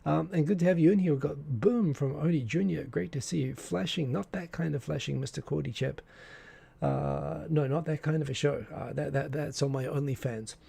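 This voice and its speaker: A man's voice: on the high side, moderate pace, vocal fry, mellow, slightly rough, no room sound. A man with an Australian accent hosting a casual live stream, chatting with viewers and joking at his own expense into a desk microphone. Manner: chatty, self-deprecating, greets viewers from chat. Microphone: desk microphone in front of him.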